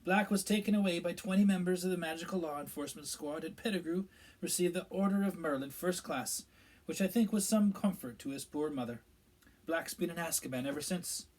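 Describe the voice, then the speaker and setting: A man's voice: on the high side, a little fast, a little mellow, pitched above the performer's own voice, close to an everyday voice, low-quality recording. A man voicing a self-important middle-aged male government minister who talks in a pompous, evasive way. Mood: solemn, pompous.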